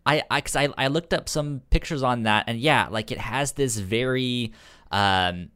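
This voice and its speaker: A man co-hosting a podcast, chatting casually in a hesitant, halting way and often repeating his words. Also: mid-range voice, sharp tone, clear, resonant tone. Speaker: podcast co-host. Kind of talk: casual chat.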